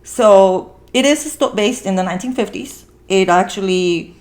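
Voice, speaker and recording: A woman's voice: mid-range voice, moderate pace, a little mellow. A woman hosting a podcast, chatting casually and earnestly, with an encouraging way of repeating phrases for emphasis. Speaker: podcast host. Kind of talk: casual podcast conversation about a film.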